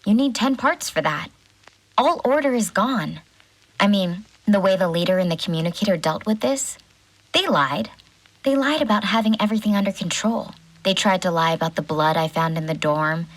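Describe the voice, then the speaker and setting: A woman's voice: fairly low pitch, low-quality recording. A woman playing a shaken, disbelieving ship's doctor, recording a confessional audio diary in a hesitant voice that tends toward a murmur. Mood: dismayed, bitter. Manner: hesitant, halting, often dropping to a breathy murmur. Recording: loud music under the voice.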